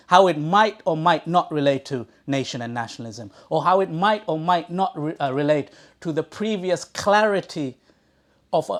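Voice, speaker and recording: A man from Sri Lanka hosting a book talk, speaking in an erudite, wordy way with halting restarts and repeated words. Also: on the high side. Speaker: event host introducing an author. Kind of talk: academic introductory remarks at a live book talk. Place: talk in a bookshop before an audience.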